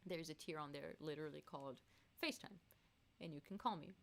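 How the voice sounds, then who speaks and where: A woman's voice: low voice, fast. A woman singer hosting a casual live stream, reading chat aloud and laughing often.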